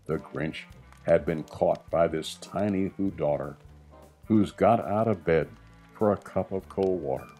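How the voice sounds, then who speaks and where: A man reading a rhyming children's story as an expressive, dramatic narrator with deliberate phrasing. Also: sits low, soft background music. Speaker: storybook reader.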